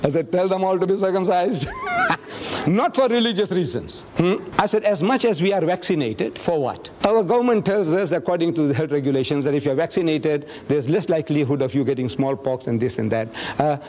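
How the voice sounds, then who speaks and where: Older man, high-pitched, chatty, acts out conversations in his stories. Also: very animated, a little fast, darkish tone. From South Africa. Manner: chatty storyteller acting out dialogue, chuckling. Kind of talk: anecdotal talk to a group.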